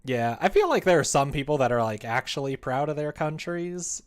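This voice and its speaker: A young adult man chatting casually on a livestream as he works, muttering to himself and then growing animated. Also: a little high, moderate pace, clear, resonant tone. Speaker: livestreamer developing a visual novel. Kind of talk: casual livestream chat while working.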